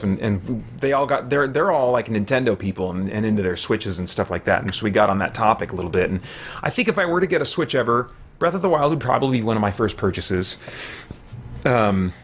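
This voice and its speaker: A man in his forties giving casual livestream game commentary, halting as he thinks aloud. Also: on the low side. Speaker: video game streamer and podcast host. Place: small room at home, indoors.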